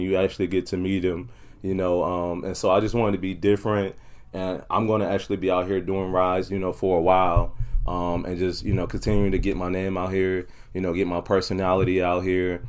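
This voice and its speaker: A man with a low-pitched voice speaks as an entrepreneur being interviewed. He is talkative and conversational, padding his sentences with frequent "you know"s in a casual, unscripted way. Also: level tone, moderate pace, a little mellow, clear, resonant tone. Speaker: podcast guest, entrepreneur.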